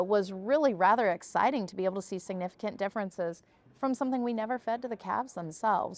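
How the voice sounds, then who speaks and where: A woman research scientist speaking as a podcast guest, explaining research in a discussion, often checking with "right?". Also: medium pitch.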